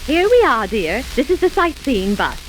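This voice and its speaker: Lilting, high-voiced wife, crackly radio. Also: female voice, sing-song, moderate pace. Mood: patient coaxing. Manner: coaxing, gently placating. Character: pleasant, tactful middle-aged wife, patiently managing husband. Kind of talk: old-time radio comedy acting. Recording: hissy, crackly vintage radio recording.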